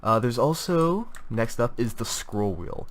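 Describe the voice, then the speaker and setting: A man's voice: medium pitch, lively intonation, unhurried. A young man with a stuffy voice from a cold, reviewing gaming gear in a casual, rambling way with many fillers and restarts. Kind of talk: casual product review talk.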